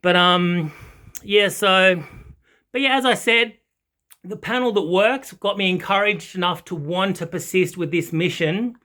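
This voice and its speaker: An Australian man gives an off-the-cuff hobbyist review in a notably high voice. His tone is bright and keen, and he rambles along with halting, enthusiastic phrasing. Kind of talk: casual unscripted product chat. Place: small carpet-and-wood home listening room with foam panels.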